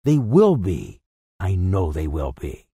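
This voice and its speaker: A man's voice: low voice, wildly swooping pitch, slow pace, warm tone, slightly rough, no echo, studio quality, pitched below the performer's own voice, heavily acted. A man voicing an old Victorian miser, repentant and fervent, in an exclamatory, swooping storybook performance. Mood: relieved, excited. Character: elated repentant old miser, giddy, pleading, Victorian Englishman.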